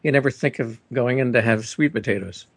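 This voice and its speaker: Host, man, mid pitch, gravelly, dry and close. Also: brisk, dry recording. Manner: casual, friendly, prompting. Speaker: radio host. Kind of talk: interview questions.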